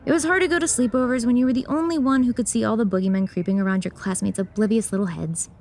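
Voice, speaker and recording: A woman's voice: a little high, quick pace, a little mellow, a little hiss or hum, close to an everyday voice. A woman playing a sardonic, jaded young woman in a horror audio drama, blunt and dry in manner. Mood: wry, confessional.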